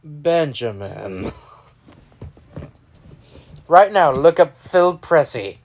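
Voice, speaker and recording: A man's voice: fairly high pitch, extremely slow. A man, co-hosting a casual sports podcast and exclaiming often. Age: 30s. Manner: excitable, exclaiming. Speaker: podcast co-host.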